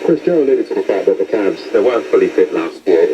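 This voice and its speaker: A man's voice: fairly low pitch, animated, fast talker. A man presenting a radio sports programme and putting questions to guests, heard over shortwave. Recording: muffled, noisy shortwave radio broadcast.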